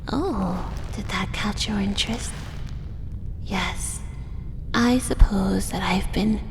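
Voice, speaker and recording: A woman voicing a doting, possessive female drider monster in a soft, breathy, whispered ASMR roleplay, coaxing with tender menace in an echoing space. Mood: teasing, possessive. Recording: echoey cave-like reverb, faint rumbling noise.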